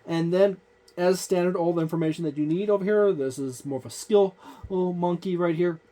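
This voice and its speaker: Channel host, casual walkthrough, rambling, lots of fillers, points things out on the page, mid pitch. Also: a little fast, dark tone. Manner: loose and rambling, many fillers. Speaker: tabletop gaming channel host.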